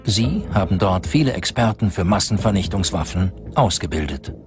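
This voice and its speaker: A man narrates a documentary in a low-pitched voice. He reads from a script, soberly and plainly, while music plays clearly underneath. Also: animated, moderate pace.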